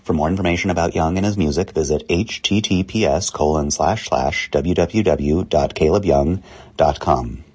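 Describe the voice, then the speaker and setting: A man with a very deep voice and a husky, slightly rough edge reads printed news stories aloud. He keeps a steady, unhurried pace and reads the quote marks out as words. Now and then he trips on a word and starts it again. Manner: plain reading that speaks quote marks aloud; steady, unhurried delivery with small slips and restarts. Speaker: newspaper reader. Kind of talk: reading news articles aloud.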